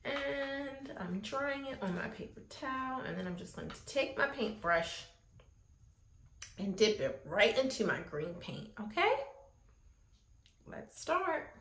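A woman speaks to young children in an unhurried, encouraging way, checking in often as she gives simple instructions. Her voice sits a little high and swings widely in pitch. The sound is that of an echoey indoor room. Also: sing-song, fairly bright tone, slight background noise. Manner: encouraging, frequent check-in questions. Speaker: preschool teacher hosting an art lesson. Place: small indoor classroom-style room.

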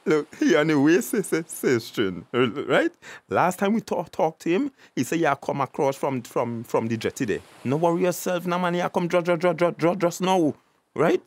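A shifty small-time crook in a radio drama. He has a Tobagonian accent and stammers badly, repeating words as he pushes and dodges. His pitch leaps around, in a dry, close recording. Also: expressive, normal speed, no room sound. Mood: evasive, insistent. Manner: evasive, repetitive, pushy. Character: shifty, nervous male crook collecting money, stammering.

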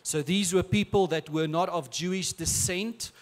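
A man in his thirties with an Afrikaans accent, preaching a sermon on a church stage into a handheld mic in a relaxed, conversational way with restarts. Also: high-pitched, moderate pace. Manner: conversational, with frequent filler and repeated words. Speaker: pastor. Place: large church stage, indoors.

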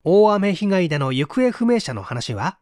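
Lively, dry-sounding book narrator. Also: mid-range voice, moderate pace, no echo. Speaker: audiobook narrator. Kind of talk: scripted book read-aloud narration.

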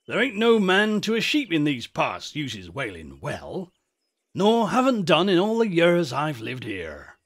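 A man's voice: fairly high pitch, huge pitch swings, a little slow, fairly bright tone, voice pushed up, full character voice. A man voicing a superstitious old shepherd in a storybook, with a rustic English country dialect and a grave, folksy, emphatic way of warning. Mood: reassuring.

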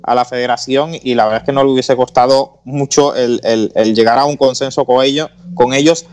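Regular-pitched guy on sports radio, stumbles and repeats a lot, ends lines with ¿no?. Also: fairly even intonation, brisk, a little mellow. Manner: hesitant, repeats words, tags with "¿no?". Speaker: radio show panelist. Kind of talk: casual radio talk.

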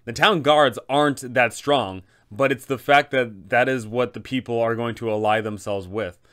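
A man in his thirties hosting a tabletop game guide, telling the story in an emphatic, deliberate way. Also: medium pitch, fairly bright tone. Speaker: host and game master giving advice.

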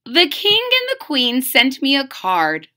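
Middle-aged woman, children's read-aloud, unhurried and very animated. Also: high-pitched. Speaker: storyteller reading a picture book aloud. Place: small room at home.

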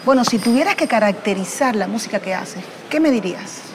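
A woman's voice: fairly high pitch, lively intonation, a little fast, slight background noise. A woman interviewer asking short, direct questions.

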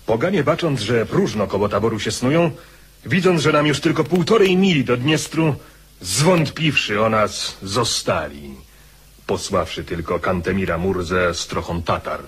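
An actor playing a grave soldier chronicler, recounting a hard retreat in a dramatised radio narration. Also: male voice, on the low side, animated, moderate pace, breathy edge. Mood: grim relief.

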